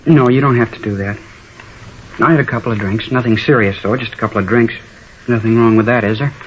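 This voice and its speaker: A man's voice: medium pitch, quick pace, lightly acted. A man playing a sullen, defiant fifteen-year-old troublemaker in a 1950s radio drama, surly and insolent. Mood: defensive. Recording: muffled, faintly noisy old radio recording.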